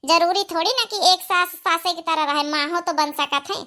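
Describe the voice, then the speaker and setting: A woman's voice: very high-pitched, normal speed, pushed and bright. A woman playing an outspoken young village daughter-in-law with an Awadhi accent in a cartoon comedy, arguing her point repetitively. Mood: conciliatory. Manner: argumentative, repetitive.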